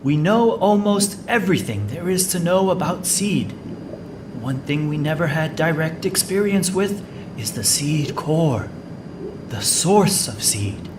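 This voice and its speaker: An actor playing a thoughtful, analytical scientist in a video game cutscene, speaking in a measured, pondering way. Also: medium pitch. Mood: musing. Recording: clear engine noise behind voice.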